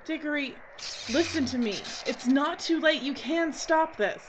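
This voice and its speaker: A woman's voice: high voice, a little slow, a little hiss or hum. A woman playing an urgent, resolute heroine defying a villain in an audio drama. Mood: urgent pleading.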